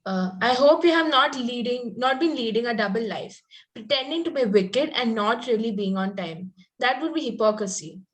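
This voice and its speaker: A woman's voice: on the high side, animated, normal speed, lightly acted. A woman reading an earnest, precocious young Victorian lady in a comedy table read, formal with some stumbles over the script. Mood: prim, earnest.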